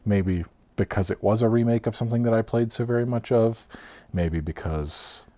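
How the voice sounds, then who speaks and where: A man in his thirties with a deep, low voice, talking casually to camera about his own past. He rambles, laughs easily and lets sentences trail off quietly. At times he drifts slightly away from his own mic. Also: normal speed. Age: 30s. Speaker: vlogger. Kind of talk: personal storytelling vlog. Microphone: own mic, off-axis at times.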